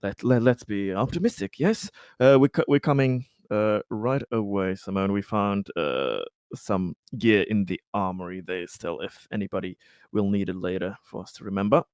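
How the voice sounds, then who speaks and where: A man's voice: lowish voice, moderate pace, darkish tone, clear, resonant tone, no room sound, studio quality. A man chatting as a player in a tabletop game, hesitant and thinking aloud. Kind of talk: casual in-game chat.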